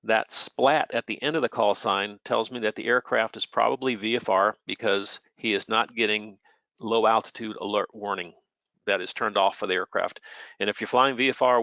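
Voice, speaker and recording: A man's voice: on the low side, pushed and bright, dry recording, lo-fi sound. A plainspoken, matter-of-fact man giving an informal technical explanation as an expert air traffic controller.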